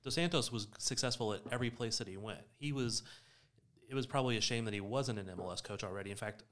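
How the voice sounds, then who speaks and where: A man's voice: on the low side, fast talker, fairly bright tone. A man co-hosting a sports podcast, talking casually and explaining his points with emphasis. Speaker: podcast co-host.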